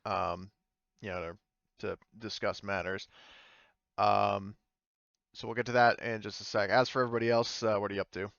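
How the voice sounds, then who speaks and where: A man working as game master, narrating a tabletop game casually and haltingly as he thinks aloud. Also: lowish voice, level tone, gravelly, a bit bright, clear, resonant tone, no echo, highs cut off.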